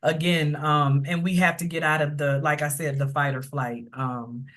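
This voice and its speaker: Low-voiced, affirming woman hosting a chat. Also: fairly even intonation, normal speed, fairly bright tone. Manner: affirming, colloquial. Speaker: host of a live book launch. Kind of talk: casual chat.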